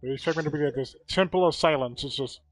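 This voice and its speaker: A man's voice: on the high side, fast talker, faint music behind. A man streaming a video game with casual commentary, full of sweary, exasperated asides.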